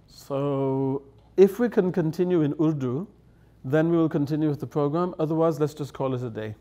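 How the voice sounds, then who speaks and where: A man's voice: a little high, moderate pace, mellow. A middle-aged man, a native English speaker, telling anecdotes in a chatty way, laughing and acting out quoted lines, at a table on a studio set. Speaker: guest elder. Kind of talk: storytelling anecdotes. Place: studio set with dark curtains.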